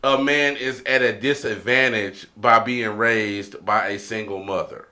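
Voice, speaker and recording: A man's voice: lowish voice, steady tone, a little slow, sharp tone. A man hosting an informal podcast chat, speaking in slangy phrases and repeating short affirmations. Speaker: podcast host. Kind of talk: casual chat, posing questions to panel and viewers.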